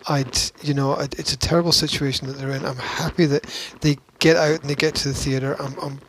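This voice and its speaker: A man hosting a casual podcast, talking in a halting, opinionated and argumentative way with emphatic stresses. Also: on the high side, little pitch movement, slight background noise. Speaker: podcast host.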